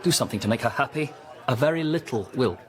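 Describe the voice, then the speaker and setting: Honourable English army officer, acted by a man, middle pitch, earnest, reproving, long-suffering, period radio drama. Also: expressive, fast talker, natural voice. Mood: resigned, fond.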